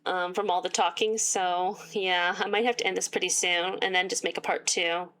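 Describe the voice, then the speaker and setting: A woman reading a visual novel aloud with sarcastic asides, her voice getting worn and hoarse. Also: fairly low pitch, steady tone, normal speed, fairly bright tone, poor audio. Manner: reads game text aloud and breaks off with sarcastic asides. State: losing her voice. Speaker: gaming YouTuber.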